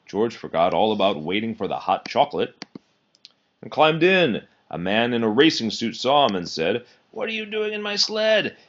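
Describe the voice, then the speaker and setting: A man reading a children's picture book aloud in an expressive, animated storytelling way. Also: mid-range voice, normal speed. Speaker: storybook reader. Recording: dull, muffled lo-fi recording.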